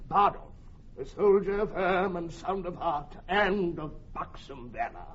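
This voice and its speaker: High-pitched blustering swaggering soldier. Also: male voice, a bit bright, breathy edge, heavily acted. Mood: grandiose pleading. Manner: grandiose, drawn-out declaiming. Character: blustering, bombastic swaggering soldier, pleading and cursing. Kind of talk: theatrical Shakespearean radio acting. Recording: dull, noisy old radio recording.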